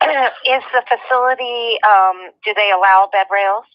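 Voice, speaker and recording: American lady official on a dull phone line, mid pitch, explains the rules matter-of-factly. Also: measured pace, dry recording. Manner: matter-of-fact, instructive. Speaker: state health department representative. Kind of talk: phone conversation, explaining procedure. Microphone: telephone.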